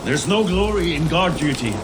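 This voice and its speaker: An actor playing an eager, hardy Viking comrade in a video game, hearty and emphatic. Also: male voice, a little high, expressive, moderate pace, echoey room, noisy background, close to an everyday voice.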